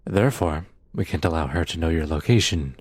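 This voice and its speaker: A man playing a firm, matter-of-fact police detective in an audio roleplay drama, with a measured, official manner and a husky voice. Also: a bass voice, no echo. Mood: firm, regretful.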